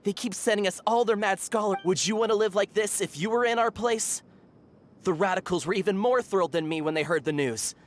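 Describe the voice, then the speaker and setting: A woman's voice: mid-range voice, a little fast, slight background noise, lightly acted. A woman voicing a frightened, deferential desert townsperson in a video game, nervous and stammering. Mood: fervent.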